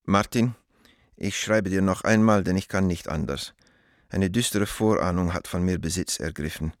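A man acting an anguished middle-aged letter writer in a radio drama. His voice is very low and recorded close and dry. He speaks formally and gravely, pleading with an old friend in measured, urgent phrases. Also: no room sound, close to an everyday voice. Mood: anxious, pleading.

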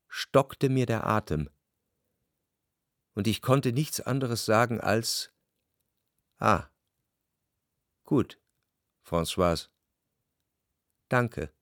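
A sensitive, refined man tells his own story in a radio drama. His voice sits fairly low and is recorded close and dry. The delivery is reflective, lyrical and tinged with yearning. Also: dry recording. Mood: stunned, restrained. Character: sensitive, dreamy, refined male narrator, yearning and melancholic. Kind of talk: literary radio drama narration in first person.

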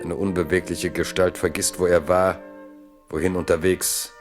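Deep-voiced male radio-play narrator, grave and measured. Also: level tone, music in the background.

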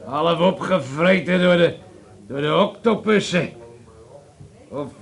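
A rude, drunken hotel guest in a radio drama. His voice is high-pitched and full of gravel, and he speaks coarsely, like a bully barking orders. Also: slow. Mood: taunting, menacing.

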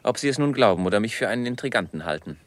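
A man's voice: lowish voice, expressive, very fast, no echo, lightly acted. A man playing a suave, cynical French chevalier in a German radio drama, with an urbane, ironic manner. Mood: wry.

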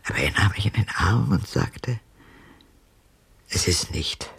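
A very husky, haunted old woman. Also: rumbling low, lively intonation, heavily acted. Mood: haunted, hushed. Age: older. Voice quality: husky, gravelly, breathy. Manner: halting, hushed, deliberate delivery. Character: haunted old woman recalling her father's abuse, halting. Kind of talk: radio drama acting.

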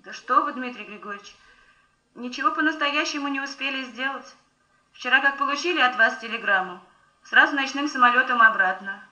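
An actress playing a distraught young female lab engineer, halting and deferential, in a muffled, echoey 1970 Soviet radio play recording. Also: high voice, pushed and bright. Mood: hesitant, uneasy.

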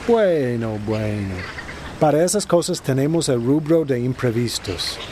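An actor playing a smug, corrupt, well-connected boss in a radio drama, measured and condescending. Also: male voice, medium pitch, animated, mellow, a little hiss or hum, lightly acted. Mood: smug, affable.

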